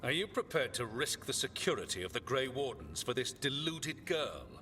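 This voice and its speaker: A man's voice: medium pitch, big pitch swings, moderate pace, sharp tone, a little hiss or hum. A man playing a stern, threatening warden commander in a fantasy game, pompous and scornful. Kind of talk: dramatic game dialogue. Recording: hall reverb, prominent music.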